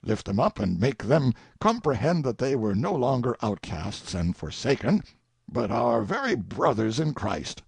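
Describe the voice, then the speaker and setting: An indignant, sanctimonious king as a male narrator plays him rants in self-pity. The voice is husky and swings widely in pitch with theatrical emphasis. It is an audiobook monologue, recorded very dry. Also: no echo, full character voice. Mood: pious fervor. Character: indignant, self-pitying, sanctimonious old king ranting in defense.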